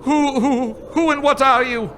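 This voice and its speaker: A man's voice: extremely high pitch, steady tone, unhurried. A man acting a nervous, sentimental old miser in a live radio play, stammering and repeating his words. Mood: nervous, pleading. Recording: live stage recording with background noise.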